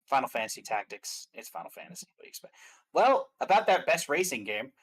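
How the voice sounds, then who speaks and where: A man's voice: medium pitch, sing-song, fast talker, slightly rough, low-quality recording. A man chatting casually and giving his opinions as a guest commentator on games. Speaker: guest commentator on game awards stream.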